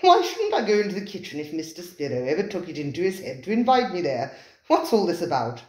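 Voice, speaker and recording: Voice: medium pitch, wildly swooping pitch, fast talker, roomy sound, pitched above the performer's own voice. A reader voicing an anxious, earnest male diner. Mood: flustered.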